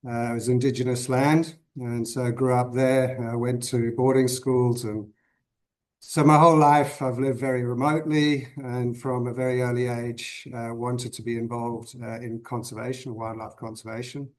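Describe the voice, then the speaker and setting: A man in his fifties from Zimbabwe, a guest on a podcast interview, answering thoughtfully with many pauses and restarts. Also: medium pitch, level tone, darkish tone. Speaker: guest conservationist.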